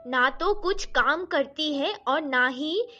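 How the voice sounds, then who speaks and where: A woman acts out melodramatic women of a quarrelling household in an animated story. The voice is very high and piercingly bright, with slow, exaggerated delivery over background music. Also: muffled recording, heavily acted. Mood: scornful, complaining. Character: scheming, grumbling mother-in-law and her young daughters-in-law.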